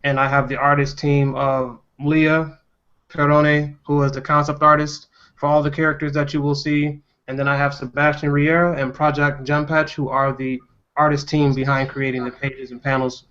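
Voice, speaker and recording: A man's voice: fairly high pitch, flat delivery, normal speed, a bit bright, clean tone. A man hosting a casual panel discussion, talking in an earnest and playful way. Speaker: host and indie comic writer. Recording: dull, muffled lo-fi audio.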